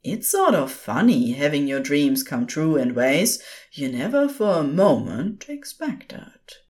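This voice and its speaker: A woman narrates a piece of audio fiction in a low register. She takes her time over each phrase, and her intonation rises and falls expressively as she tells the story. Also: big pitch swings, takes it slowly, darkish tone.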